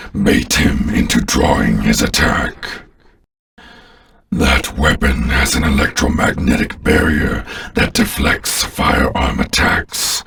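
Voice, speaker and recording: A man playing an ancient alien overlord villain in a video game, commanding, contemptuous and menacing, with a very deep gravelly voice and slow, grandiose delivery. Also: fairly bright tone, distant mic, heavily acted.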